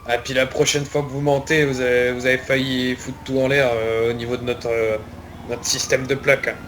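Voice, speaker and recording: A man's voice: medium pitch, level tone, a little fast, a bit bright, slight background noise, natural voice. A man playing a stern but casual official demanding payment of a fine in online roleplay, hesitant and repetitive. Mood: admonishing.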